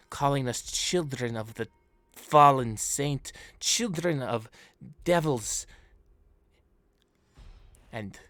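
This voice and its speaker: A man's voice: mid-range voice, fairly bright tone, no room sound, voice pushed up. A man voicing a zealous horned woman cultist with a put-on Russian accent during a tabletop game. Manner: pleading, fervent, persuasive.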